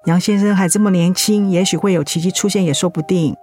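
A woman's voice: lowish voice, fairly even intonation, fast talker, dark tone, faint music behind, lightly acted. A woman playing a serious, composed doctor in a radio drama. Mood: grave, professional.

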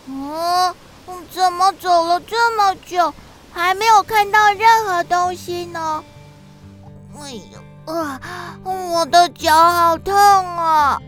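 A lonely, timid childlike Darkness for a children's radio play. The voice is tiny and very high, with a bright edge. Lines come slowly and plaintively, with wide-eyed drawn-out exclamations, over soft music. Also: female voice, exaggerated voice. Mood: weary, worried. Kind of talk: children's radio drama acting.

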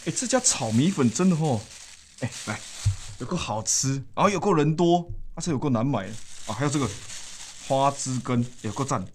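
A man's voice: mid-range voice, animated, a little fast, a little mellow, a little hiss or hum, lightly acted. An actor playing a chatty, good-hearted young man in a radio drama, rambling colloquially with a Taiwanese Mandarin accent. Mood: chatty, easygoing. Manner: colloquial, rambling, sentence-final particles.

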